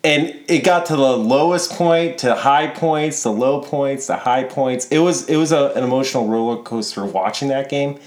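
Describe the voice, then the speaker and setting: A man whose first language is Polish co-hosting a casual sports podcast, telling things in an easygoing, animated way. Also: medium pitch, moderate pace, hardly any pauses. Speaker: podcast co-host. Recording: echoey room.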